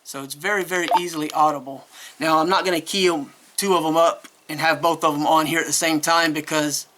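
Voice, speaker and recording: A man with a fairly high-pitched voice reviews a product off the cuff. His intonation stays quite flat, and he rambles along hesitantly, correcting himself as he goes. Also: background noise. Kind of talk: casual unscripted product review.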